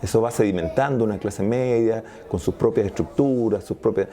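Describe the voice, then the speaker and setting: A Chilean man with a low, gravelly voice and a mellow, dark tone. He talks through ideas in a discursive, analytical way, often breaking off and restarting mid-sentence. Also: moderate pace. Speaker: sociologist guest. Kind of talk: interview conversation.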